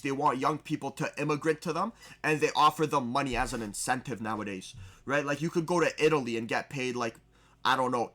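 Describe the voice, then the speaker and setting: A man's voice: mid-range voice, bright, forceful tone. A man streaming, talking casually as he reacts to a video, rambling and often restarting his sentences.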